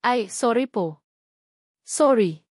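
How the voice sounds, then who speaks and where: A woman's voice: on the high side, animated, slow pace, a little mellow, no echo, studio quality. A woman storyteller voicing a flustered, humble young provincial woman who speaks politely and hesitantly. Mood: flustered, apologetic. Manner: polite, hesitant, self-talking. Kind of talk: audio storybook character voice.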